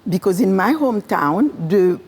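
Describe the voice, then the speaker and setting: A woman's voice: mid-range voice, lively intonation, moderate pace, a little mellow, breathy edge. A woman from Haiti, a physician and farmer, answering interview questions earnestly and repeating phrases in lists. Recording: long hall reverb.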